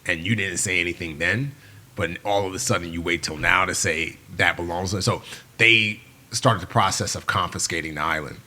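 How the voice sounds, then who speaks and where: A Nigerian-born man in his forties, a Yoruba native speaker, guests on a podcast and tells a long story with animated energy, voicing the people in it. His voice sits low yet has a bright, forceful edge. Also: moderate pace. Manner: animated storyteller who acts out quoted people. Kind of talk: storytelling in a long-form interview.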